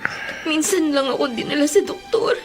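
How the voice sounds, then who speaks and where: A woman's voice: high voice, normal speed, over music, lightly acted. An actress playing an ailing, tearful mother in a Filipino radio drama, speaking plaintively. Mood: grave, shaken. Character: ailing, tearful mother home from working abroad.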